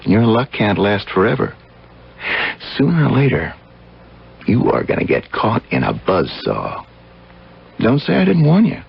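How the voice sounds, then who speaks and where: Gravelly, earnest young American man. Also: on the low side, wildly swooping pitch, measured pace, long pauses, heavily acted. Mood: stern warning. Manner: earnest, reproving. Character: earnest, disapproving young psychiatrist, the sober brother. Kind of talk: 1970s radio drama acting. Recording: dull 1970s radio recording.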